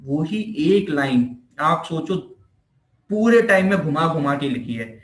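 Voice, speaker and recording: A man's voice: a little high, animated, unhurried, a bit bright. An Indian man giving an informal explanatory lecture as a teacher, repeating himself and answering his own questions. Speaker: physiotherapy teacher. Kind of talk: informal explanatory lecture in Hindi mixed with English terms. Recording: echoey room.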